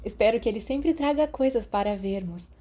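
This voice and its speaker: A woman's voice: a little high, lively intonation, a little fast, warm tone. A woman voicing a chatty, hopeful schoolgirl in an audiobook, eager and giggly, with a Brazilian accent.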